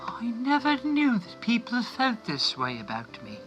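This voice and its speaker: A man's voice: very high-pitched, wildly swooping pitch, measured pace, dull audio, loud music behind the voice, full character voice. A man playing a gullible old miser in a parody radio play, hammy and theatrical. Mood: dismayed. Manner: overacted, wailing, theatrical.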